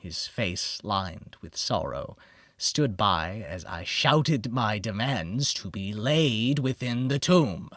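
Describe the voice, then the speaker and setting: A man reads gothic horror in a theatrical, solemn manner. His delivery is slow and deliberate, with very animated pitch. The recording is close and dry. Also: no echo. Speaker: audiobook narrator. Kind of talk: dramatic scripted narration of a gothic horror story.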